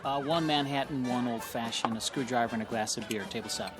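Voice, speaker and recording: An actor in a radio drama playing a brisk, obliging speakeasy waiter, with music behind him. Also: male voice, mid-range voice, natural voice. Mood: businesslike. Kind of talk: audio drama acting.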